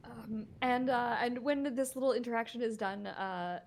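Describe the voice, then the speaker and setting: A woman narrating a tabletop game as game master, describing scenes in a halting way with many fillers. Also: fairly high pitch.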